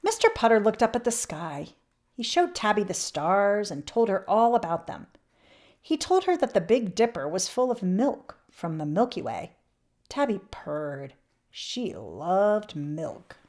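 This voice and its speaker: A woman reading a children's picture book aloud expressively, with emphatic swells and hushed drops. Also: mid-range voice. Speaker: children's read-aloud channel host.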